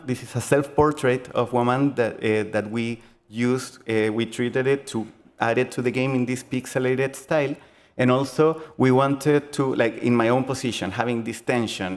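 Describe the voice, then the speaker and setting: A young man with a Chilean accent, giving a conference talk on game design in a halting, earnest way, full of fillers and restarts. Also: medium pitch. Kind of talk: conference presentation.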